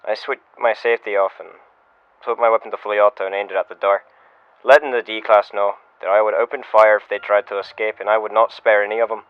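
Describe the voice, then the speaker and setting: A man playing a shaken security officer, giving a measured first-person incident report as a scripted audio log. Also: lowish voice, flat delivery, smooth, no echo, close to an everyday voice. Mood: grave, measured. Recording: muffled lo-fi radio-style sound, music behind. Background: music.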